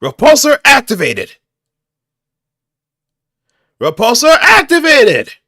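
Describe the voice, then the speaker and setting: Fighting game hero, played by a man: high, bright, urgent battle shouts, explosive delivery. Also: wildly swooping pitch, slow pace, no echo, studio quality, full character voice. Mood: battle fury. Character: shouting male fighting game hero firing off attack callouts. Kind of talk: improvised video game battle lines.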